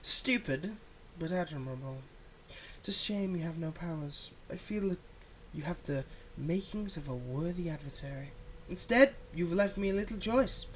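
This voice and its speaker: A performer playing a theatrical supervillain, menacing yet exasperated and softhearted, in a one-sided ASMR roleplay spoken straight to the listener. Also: mid-range voice, animated, moderate pace, a little hiss or hum, natural voice, poor audio. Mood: reluctant, grave. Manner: dramatic, swinging between threats and hushed soothing.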